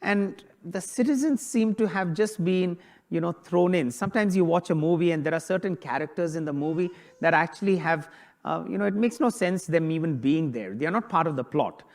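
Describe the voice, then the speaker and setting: Priest delivering a homily, high male voice, informal preaching built on rhetorical questions. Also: a little fast. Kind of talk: sermon on a Bible passage.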